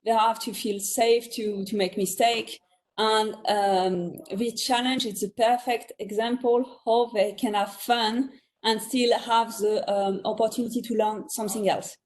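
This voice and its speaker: A woman's voice: on the high side, steady tone, measured pace. A woman with a French accent, talking chattily as a guest on a virtual panel, often laughing between thoughts. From France. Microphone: video call.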